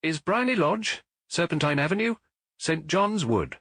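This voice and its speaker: A man's voice: a little high, very animated, unhurried, a bit bright, no echo, voice pushed up, exaggerated voice. A man voicing a proud, anxious foreign king in a storybook, speaking with grand emphasis. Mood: formal. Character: imperious, anxious Bohemian king, intense and proud.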